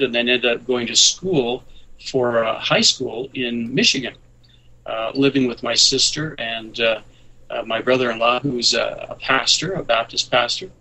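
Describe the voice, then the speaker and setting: A man's voice: medium pitch, pushed and bright, poor audio. A man in his sixties, born in Scotland, talking as a radio guest with wry asides and many hesitant fillers. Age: 60s. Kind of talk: casual interview talk.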